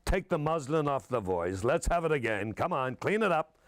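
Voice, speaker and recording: A man imitating a booming, bossy male radio director in an anecdote, commanding and impatient. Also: big pitch swings, moderate pace, no echo.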